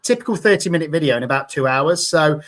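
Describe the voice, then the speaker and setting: Male host, high-ish voice, chatty and self-deprecating, video call. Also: expressive, fast talker, a bit bright. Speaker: host of a resin crafting live stream. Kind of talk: casual live-stream chat.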